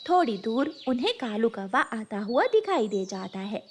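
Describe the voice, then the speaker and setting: A woman's voice: fairly high pitch, sing-song, normal speed, darkish tone, a little hiss or hum. A woman narrating a scripted cartoon story as the storyteller.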